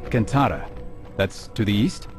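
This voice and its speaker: A serious young assassin in a game cutscene, measured and formal, music under it. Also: male voice, fairly low pitch, animated. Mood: resolute, serious. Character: grave, resolute young male medieval assassin, cool and philosophical. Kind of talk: video game cutscene voice acting. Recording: music behind the voice.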